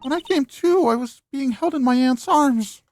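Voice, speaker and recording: A woman's voice: high-pitched, moderate pace, darkish tone, faint music behind, pitched above the performer's own voice, lightly acted. A woman voicing a distraught, guilt-ridden teenage girl, a fragile spirit medium in a video game, earnest and emotional. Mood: shaken, recounting.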